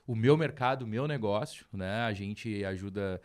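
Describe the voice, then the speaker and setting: A man, hosting a podcast and asking curious questions in a relaxed way. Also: lowish voice, moderate pace. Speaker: podcast host. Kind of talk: casual interview chat.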